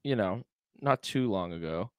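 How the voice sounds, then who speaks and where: A man's voice: lowish voice, animated, moderate pace, darkish tone, clean tone, no room sound, studio quality. A man talking casually as a podcast guest, with slangy, sweary phrasing. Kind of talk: casual chat.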